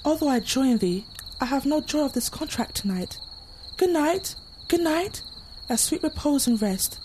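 A high, girlish voice from a young student actress plays an anxious girl deeply in love. The verse is earnest and poetic, in audio drama style, with faint chirping noise around it. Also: lively intonation, unhurried, a little mellow. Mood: anxious, tender. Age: young adult. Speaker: student actor. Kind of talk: Shakespearean audio drama acting.

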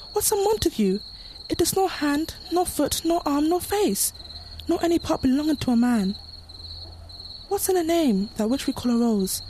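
Passionate young girl in love, played by a young woman, high-pitched, earnest Shakespearean verse, audio play. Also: warm tone, slight background noise. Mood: longing. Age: young adult. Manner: earnest, poetic verse delivery. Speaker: student actor. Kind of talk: Shakespearean audio drama acting.

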